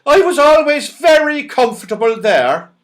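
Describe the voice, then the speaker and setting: Eccentric old man, storybook, very high strained pitch, loud, bewildered. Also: sing-song, moderate pace. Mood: mournful. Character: Saucepan Man, odd mournful mishearing old fellow.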